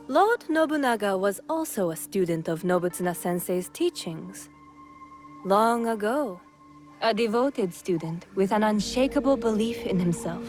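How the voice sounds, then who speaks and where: A woman playing a poised, shrewd noblewoman in a game, composed, deliberate and authoritative. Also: medium pitch, natural voice. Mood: measured, grave. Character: poised, commanding noblewoman, politically shrewd lord's wife. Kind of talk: formal dramatic game cutscene acting.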